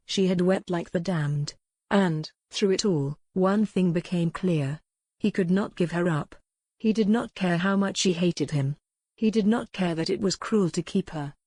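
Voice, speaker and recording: A woman narrating a dramatic romance audiobook in a measured, solemn storytelling manner. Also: lowish voice, darkish tone, no room sound.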